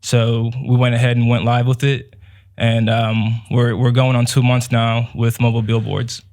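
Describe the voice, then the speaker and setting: A young man from Nigeria, answering as a guest in a relaxed way with many fillers. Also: mid-range voice, moderate pace. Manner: relaxed, many "um" and "you know". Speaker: guest, business owner. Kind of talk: casual interview answers.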